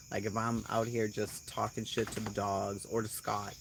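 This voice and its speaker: A woman with a Boston accent hosting a casual live chat at home, blunt and quick to swear, laughing often as she reacts to comments. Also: very deep voice, level tone, vocal fry, a little hiss or hum. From Boston area, USA. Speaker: channel host.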